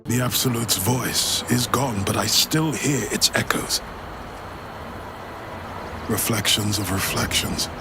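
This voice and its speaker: A man's voice: on the low side, slow pace, long pauses. An actor playing a dignified, weary nobleman father in a fantasy game, formal and stately. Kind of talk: dramatic game dialogue. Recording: loud music over voice.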